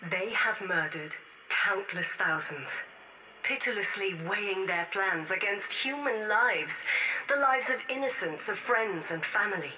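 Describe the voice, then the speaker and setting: An actress playing a defiant rebel woman leaving a grave final testament in a science-fiction game audio log, solemn, urgent and deliberate. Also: mid-range voice, noisy background, close to an everyday voice. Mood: grave, conspiratorial.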